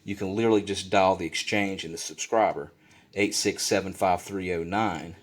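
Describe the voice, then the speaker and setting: Low-pitched man giving a casual how-to. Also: normal speed, heavy background noise. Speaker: instructor, video presenter. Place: indoor room at a desk.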